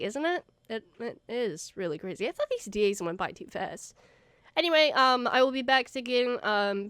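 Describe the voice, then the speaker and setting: A young woman gives a loose, chatty update to her gaming channel viewers, stopping often on fillers. Her voice is smooth and clear though her nose is stuffy. The recording is close and dry, with no room sound. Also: medium pitch, a bit bright, no room sound. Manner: rambling, many fillers and restarts. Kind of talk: casual update vlog to viewers.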